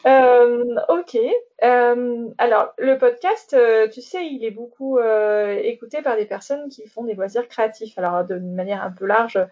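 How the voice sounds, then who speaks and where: Role: podcast host. Sex: woman. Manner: chatty and giggly, many fillers. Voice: fairly high. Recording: muffled, lo-fi. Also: a little slow, hardly any pauses, a little mellow. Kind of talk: casual conversational interview. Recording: dull lo-fi sound.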